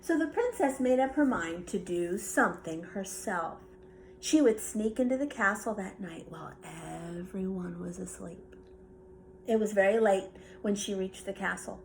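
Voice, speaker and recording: A woman reading a children's bedtime story aloud with expressive narration. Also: medium pitch, normal speed, slight background noise, rough recording. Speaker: storybook reader.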